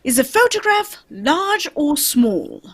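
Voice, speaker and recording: A woman playing a shrewd, courteous gentleman detective in a radio mystery, speaking formally and probingly. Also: sits high, big pitch swings, slow pace, full character voice. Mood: brisk, assured. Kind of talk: old-time radio mystery acting, read live.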